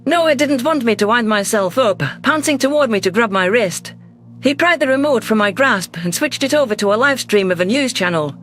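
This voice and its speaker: Audiobook narrator for science fiction: medium pitch, scripted first-person storytelling, light music bed. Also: lively intonation, moderate pace, a bit bright.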